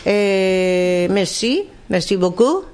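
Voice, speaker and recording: A woman's voice: medium pitch, expressive, drawn-out words, darkish tone, smooth. A middle-aged woman with an Andalusian accent hosting a casual live radio chat, talkative, teasing and full of exclamations. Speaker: radio host.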